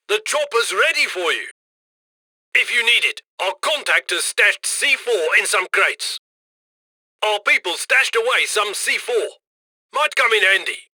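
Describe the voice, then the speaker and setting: A brash, upbeat male mission handler from a video game, barking cocky instructions to a criminal crew. His voice sits high and cuts through with a sharp, very bright edge. The sound is dry and close, with punchy, commanding delivery. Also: no room sound, heavily acted. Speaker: mission handler giving instructions over comms.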